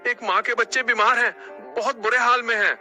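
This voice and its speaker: A man's voice: extremely high pitch, steady tone, brisk, sharp tone, soft background music, exaggerated voice. A man voicing an urgent jungle animal in a cartoon story. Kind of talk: Hindi cartoon story acting.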